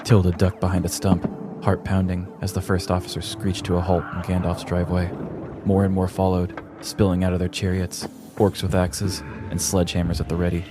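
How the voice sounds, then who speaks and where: A man's voice: low voice, monotone, brisk, background noise. A man hosting a podcast, reading scripted comic true-crime narration with a dramatic storybook delivery. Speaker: podcast host.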